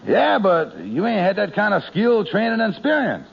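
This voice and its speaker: A sensible, skeptical man in a 1940s radio comedy, with a high, raspy, gravelly voice. The sound is dull and muffled, like an old radio recording. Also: huge pitch swings, moderate pace, exaggerated voice. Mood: dry skeptical.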